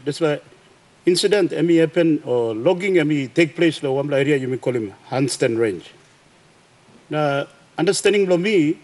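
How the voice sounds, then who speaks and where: A middle-aged Papua New Guinean man, a governor asking a question in a parliament chamber, speaking haltingly with many fillers and restarts. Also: fairly high pitch, darkish tone. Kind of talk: parliamentary question time, mixing Tok Pisin. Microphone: desk mic behind a plexiglass screen.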